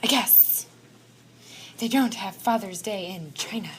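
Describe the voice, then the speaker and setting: A woman voicing a silly, childlike, excitable puppet pupil who interrupts class in an amateur show. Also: mid-range voice, unhurried, close to an everyday voice. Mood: silly, curious. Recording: faint background noise, amateur recording.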